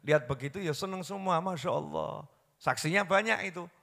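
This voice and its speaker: A man preaching a sermon, swinging from hushed storytelling to loud impassioned pleading. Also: on the high side, brisk, gravelly. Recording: long hall reverb.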